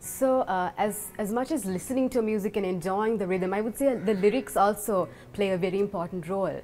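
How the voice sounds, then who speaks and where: A woman's voice: medium pitch, a little fast, darkish tone. A woman, hosting an interview with prepared questions. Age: 20s. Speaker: interviewer, host. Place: large brick-walled room with big windows, indoors. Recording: music behind the voice.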